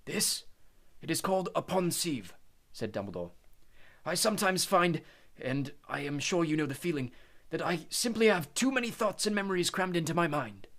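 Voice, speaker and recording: A quiet wise old headmaster. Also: male voice, fairly high pitch, sing-song, voice pushed up. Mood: calm. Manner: quiet and measured. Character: calm wise elderly male headmaster.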